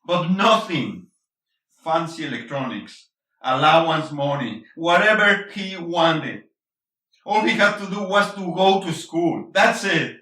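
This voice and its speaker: Echoey, forceful angry husband acted by a man. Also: on the high side, expressive, takes it slowly, full character voice. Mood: angry, bitter. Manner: confrontational, emphatic, swearing, switches between English and Spanish. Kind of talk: intense stage-play reading. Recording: echoey room, distant mic.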